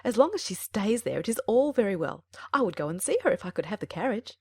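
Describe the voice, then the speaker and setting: A woman voicing a fussy, excitable, scheming middle-aged mother who exclaims and dismisses in a dramatized audiobook. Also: mid-range voice, quick pace, breathy edge, no echo, studio quality. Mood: smug.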